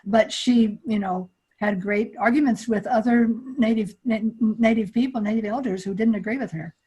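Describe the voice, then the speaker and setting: Older woman, webinar presenter on a video call, husky and breathy, repeats herself. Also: fairly high pitch, normal speed, darkish tone, rough recording. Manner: repeats words often, chatty and reassuring. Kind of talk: casual discussion on a video call.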